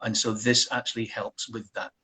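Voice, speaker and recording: Husky-voiced man chatting as a guest. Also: on the low side, moderate pace. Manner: relaxed, frequent ums, self-deprecating. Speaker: college counselor guest. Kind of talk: casual interview answers.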